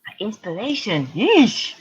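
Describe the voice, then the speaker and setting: A middle-aged woman, a guest athlete, telling lively anecdotes in a casual interview and acting out the voices she quotes. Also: medium pitch, unhurried, husky, lo-fi sound. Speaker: guest ultra-distance triathlete.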